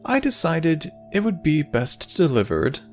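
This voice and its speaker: A man playing a playful, teasing god of the afterlife in a fantasy audio roleplay, speaking in a sly, measured, theatrical way. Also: fairly high pitch, wildly swooping pitch, soft background music. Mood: solemn, measured. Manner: theatrical, sly, measured storytelling with dramatic pauses.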